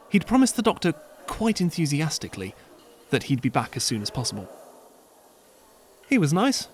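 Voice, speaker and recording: A man narrating an audio drama in an expressive, lively storytelling style. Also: mid-range voice, a little hiss or hum.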